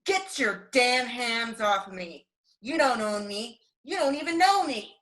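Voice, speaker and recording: Brief: woman to play a savage young American gunslinger, crude, taunting, folksy, with a sharp bright voice, for a Western radio play. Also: fairly high pitch, animated, a little slow. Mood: defiant. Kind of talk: Western radio drama acting.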